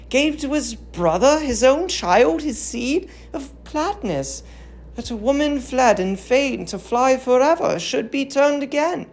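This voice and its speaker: A woman's voice: high-pitched, a little mellow, heavily acted. A woman playing a frenzied young prophetess princess in a classical tragedy, declaiming in rapturous, incantatory verse. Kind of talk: classical tragedy dramatic reading.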